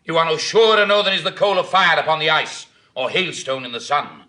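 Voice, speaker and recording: A man's voice: on the high side, animated, fairly quick, pushed and bright. An actor playing a proud, contemptuous Roman warrior nobleman, scathing and declamatory in a Shakespearean drama. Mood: scornful fury. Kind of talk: classical Shakespearean stage acting.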